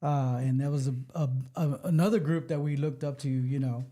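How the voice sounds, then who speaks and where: A man's voice: fairly high pitch, fairly even intonation, fairly quick, dark tone. A musician from Corpus Christi, Texas, a man talking in a dry, joking, matter-of-fact way. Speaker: guest musician. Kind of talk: casual interview.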